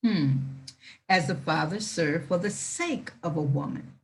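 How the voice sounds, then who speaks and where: A woman reading aloud in an emphatic, deliberate manner, with informal asides. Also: deep voice, lively intonation. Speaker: reader with commentary.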